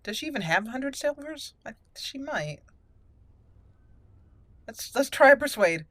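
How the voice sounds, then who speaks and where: A woman's voice: high voice, animated, measured pace, fairly bright tone, no room sound. A woman hosting a roleplay let's play, chatty and playful, thinking aloud as she goes. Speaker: gaming host.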